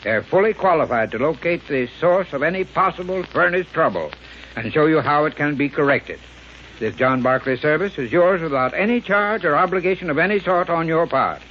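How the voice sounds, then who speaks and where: A man with a fairly high, very animated voice delivers formal household advice as a radio expert. It sounds like a muffled 1930s broadcast. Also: moderate pace, a little hiss or hum. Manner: formal, instructive. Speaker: heating expert giving sponsor advice. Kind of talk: scripted 1930s radio commercial.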